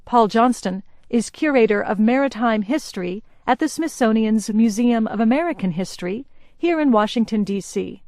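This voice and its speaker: Woman newsreader, slightly high, dry close studio read, slow and even. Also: no echo. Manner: slow, even, carefully paced reading. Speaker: news reader. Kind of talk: scripted news narration.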